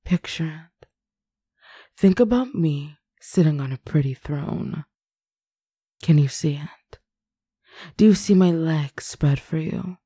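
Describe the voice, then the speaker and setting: A woman speaking an intimate roleplay in a teasing, commanding, sultry manner, close to the mic and often dropping into breathy whispers. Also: low-pitched, dark tone, no room sound. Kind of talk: intimate adult roleplay audio.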